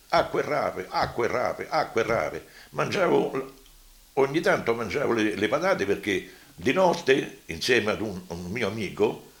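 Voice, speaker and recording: Creaky, lively older man reminiscing. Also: fairly low pitch. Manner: emphatic repetition. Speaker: war deportee giving testimony. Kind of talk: spoken recollection.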